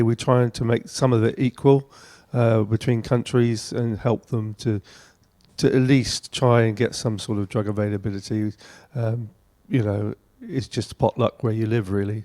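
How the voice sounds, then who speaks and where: Guy chatting on a panel, normal mid pitch, conversational. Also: fairly even intonation, a little slow, dark tone.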